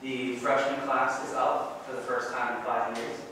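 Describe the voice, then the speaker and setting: Halting young man in echoey room. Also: medium pitch, level tone, recorded from a distance, noisy background. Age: young adult. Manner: halting, many ums and uhs. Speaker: student government president. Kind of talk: meeting report. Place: meeting room. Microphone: far camera.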